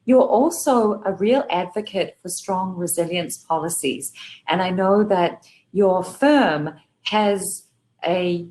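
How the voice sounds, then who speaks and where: A woman's voice: fairly low pitch, a little mellow. A woman moderating a panel, giving a formal and complimentary introduction of a guest.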